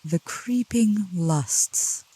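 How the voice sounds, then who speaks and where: A woman playing a seductive, protective demon in a soft ASMR roleplay, speaking slowly and coaxingly in a low, gentle voice. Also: animated, breathy edge, full character voice. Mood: teasing, seductive. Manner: slow, deliberate, coaxing, with pet names. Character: seductive, protective female demon, soothing yet scornful of cultists. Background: rain.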